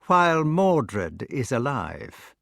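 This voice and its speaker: A man voicing a pale, bitter young knight who speaks slowly, tonelessly and with mockery. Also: high-pitched, huge pitch swings, no echo, clean studio sound, pitched above the performer's own voice, heavily acted. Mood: cold. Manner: toneless, slow and sneering.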